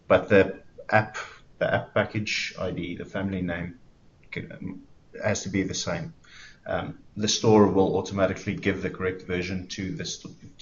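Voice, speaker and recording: A man with a South African accent, in his thirties, talks developers through updates in a friendly, informal way. His voice sits fairly low. The webinar audio is dull and lo-fi, with a faint rumble underneath. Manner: friendly, informal, explains step by step with fillers. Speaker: developer evangelist presenter. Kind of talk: webinar presentation.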